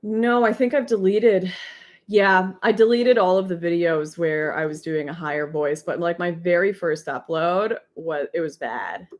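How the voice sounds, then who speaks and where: A young woman with a deep voice, hosting a casual livestream and reading out chat messages. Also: a little slow, darkish tone. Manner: chatty, rambling, laughs often.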